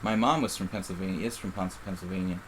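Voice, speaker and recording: A middle-aged man with a faint Philadelphia accent and a fairly low voice talks through a chess game as he plays. He rambles casually and breaks off his own sentences to restart them. A faint hiss of noise sits under the voice. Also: fairly even intonation, fast, poor audio. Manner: casual, rambling, self-interrupting. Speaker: chess streamer. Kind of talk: live chess commentary.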